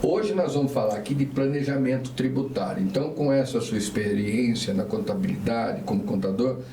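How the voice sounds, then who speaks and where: A man's voice: medium pitch, brisk, dark tone. A man hosting a casual podcast interview, chatty and leaning on tag questions, asking his guests about themselves. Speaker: podcast host. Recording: echoey room, mic at a distance, faint noise.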